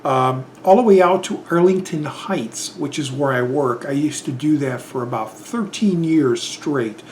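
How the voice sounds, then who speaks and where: A man's voice: fairly high pitch, measured pace, a little mellow, a little hiss or hum. Rambling middle-aged man. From Chicago, Illinois. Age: 50s. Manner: rambling, hesitant, many fillers and restarts. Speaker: YouTube channel host. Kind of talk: casual tag video monologue.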